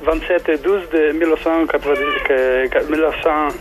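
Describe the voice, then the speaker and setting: Spanish guy calling a radio show, accent, halting, hunting for words, faint music behind. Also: fairly high pitch, fairly even intonation. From Spain. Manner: halting, searching for French words. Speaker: caller.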